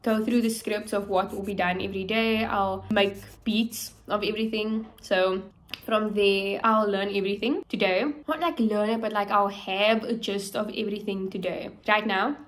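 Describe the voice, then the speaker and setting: A woman's voice: medium pitch, fairly even intonation, normal speed. Young woman, casual vlog, upbeat, lots of fillers, camera on a table. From South Africa. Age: young adult. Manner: chatty, filler-heavy, upbeat. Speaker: actress vlogger. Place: small room indoors at home.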